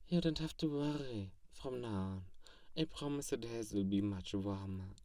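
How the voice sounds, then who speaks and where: A man voicing a kindly, grandiose vampire who befriends a lonely human, speaking softly and earnestly in an intimate ASMR roleplay. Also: mid-range voice, lively intonation, moderate pace, no echo, studio quality, far from the mic, close to an everyday voice. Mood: reassuring. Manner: soft-spoken, earnest, grandiose, gently teasing. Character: kindly, theatrical, self-styled great male vampire befriending a lonely human.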